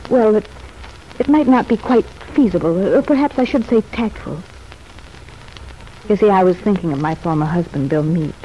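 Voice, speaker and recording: A woman's voice: medium pitch, expressive, normal speed, long pauses, dark tone, a little hiss or hum, lightly acted. Woman acting a poised young patient, muffled old radio. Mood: hesitant, confiding. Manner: formal, articulate, hesitant phrasing. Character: poised young female sanitarium patient, cultivated, convalescing, self-examining. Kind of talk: old-time radio soap opera acting.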